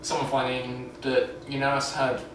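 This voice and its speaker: A man's voice: medium pitch, completely flat delivery, moderate pace, background noise. A young man vlogging casually while shaving, talking loosely with lots of restarts and dry sarcasm. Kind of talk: casual rambling film review. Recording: echoey room, mic far off.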